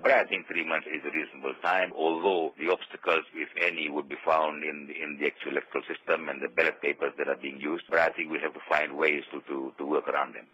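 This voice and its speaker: Really deep guy being interviewed, talks quick and formal, muffled radio sound. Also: level tone, pushed and bright, breathy edge, no echo. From Fiji. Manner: measured, formal, with frequent "you know". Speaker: trade unionist and parliamentary candidate interviewee. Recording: muffled, noisy shortwave radio broadcast.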